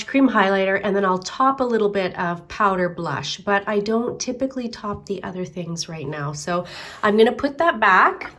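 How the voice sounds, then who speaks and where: A middle-aged woman chats to camera about her makeup collection, rambling easily with self-deprecating asides. Her voice sounds slightly nasal as she gets over a cold, and she talks at an ordinary, mid-range pitch. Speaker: beauty vlogger. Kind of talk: casual chat to camera. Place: home, then moves upstairs to makeup desk.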